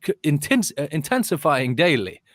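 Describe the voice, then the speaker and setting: A man's voice: a little high, very animated, normal speed, no echo, studio quality. A man with a Norwegian accent ranting casually on an online panel, emphatic, sweary and prone to repeating his phrases.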